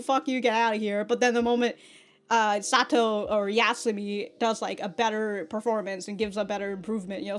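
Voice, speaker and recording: A woman giving a casual episode review as a reaction host, in slangy, sweary talk with mock indignation and frequent laughter. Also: fairly high pitch.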